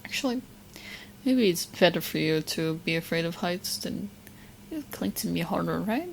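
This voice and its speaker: A woman playing a sweet, obsessed young witch girlfriend in an ASMR roleplay, teasing and smug with coy, halting asides. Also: fairly low pitch, animated, creaky, a little hiss or hum, rough recording. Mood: smug, adoring.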